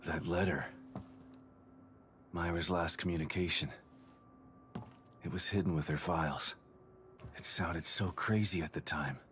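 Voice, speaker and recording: An actor playing a weary, guilt-ridden middle-aged father in a video game drama, brooding and halting. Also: low voice, fairly bright tone, a little hiss or hum. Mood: wistful, regretful.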